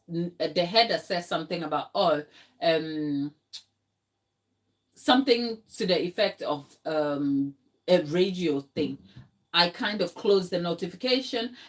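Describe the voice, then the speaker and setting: A woman with a Ghanaian accent tells a story while she works in her home kitchen. Her voice sits a little low, and she rambles along with plenty of fillers. Now and then she turns to talk to the viewers in her live chat. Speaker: home baker and channel host.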